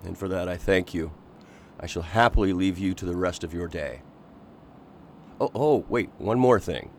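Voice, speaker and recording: An actor playing an earnest, agitated Victorian gentleman in a radio drama, pleading and intense. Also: deep voice, normal speed, slight background noise.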